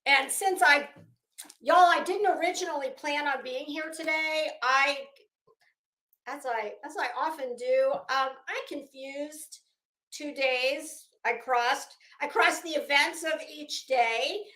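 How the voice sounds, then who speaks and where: A woman's voice: high voice, slow, bright, forceful tone. A woman chatting casually as a livestream host, rambling and theatrical, often breaking off mid-thought and starting again. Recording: echoey room sound.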